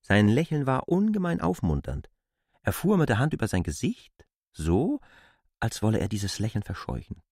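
Male storyteller for a classic novel: very low, expressive intonation, husky grain, literary narration. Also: moderate pace, dry recording, clean studio sound.

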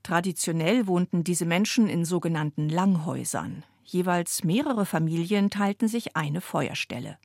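A woman narrates a radio report in a clear, even way. Her voice sits a little low for a woman. The recording is close and dry. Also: normal speed, hardly any pauses, dry recording.

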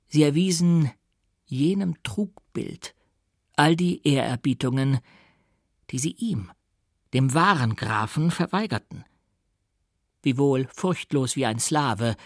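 A man's voice: mid-range voice, creaky, breathy edge, no echo. Dramatic male audiobook narrator, close and dry. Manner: theatrical, deliberate, emphatic.

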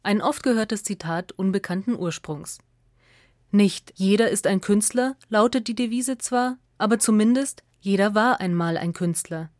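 A woman from narrates a scripted radio essay in a measured, clearly articulated way. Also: medium pitch, a little mellow, no room sound, clean studio sound.

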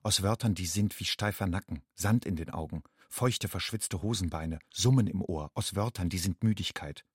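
An actor playing a weary, watchful man who lives on the motorway, in a measured, brooding poetic monologue. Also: low-pitched, breathy edge, no echo, studio quality. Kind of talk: poetic second-person monologue.

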